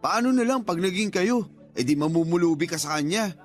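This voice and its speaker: A man's voice: high voice, expressive, fairly quick, faint music behind. A man with a Filipino accent playing a blunt, protective friend in a radio drama, talking frankly and in slangy, scolding advice. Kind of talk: radio drama acting in casual dialogue.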